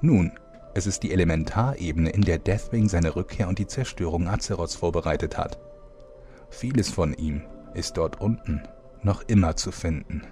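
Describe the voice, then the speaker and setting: A man's voice: sits low, normal speed, dark tone, soft background music, close to an everyday voice. A man playing a solemn storyteller, giving scripted narration for a fantasy parody radio play. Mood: explaining.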